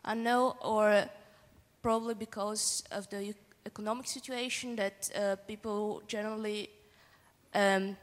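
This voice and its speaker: A woman's voice: mid-range voice, fairly even intonation, measured pace. A woman from Bulgaria, making an informal, hesitant comment from the audience at a discussion. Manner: tentative, casual, hesitant. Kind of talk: informal spoken remark at a discussion. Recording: echoey hall with long reverb.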